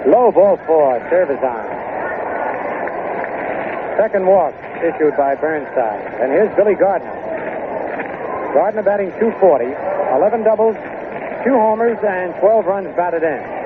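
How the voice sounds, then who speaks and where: A narrow, tinny 1960s radio broadcast, thick with noise, carries an American man calling a baseball game. His voice sits fairly high for a man. He speaks in short, measured play-by-play phrases, leaving space between them. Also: lively intonation. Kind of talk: 1960s radio sports commentary. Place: outdoor stadium broadcast booth.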